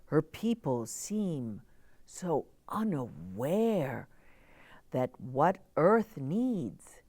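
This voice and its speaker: A woman's voice: low-pitched, sing-song, extremely slow, mellow, dry recording. A woman hosting a children's story time, speaking in simple, emphatic phrases as she addresses young listeners. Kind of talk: expressive read-aloud and chat addressed to young children.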